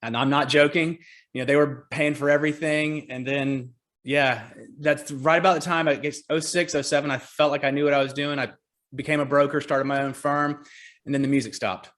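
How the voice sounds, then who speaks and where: Relaxed Southern man in his forties. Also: a little high, brisk. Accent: Southern American. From Georgia, US. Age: 40s. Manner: relaxed, chatty, self-deprecating humor. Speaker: real estate agent and team leader. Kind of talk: casual interview on a call. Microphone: video call.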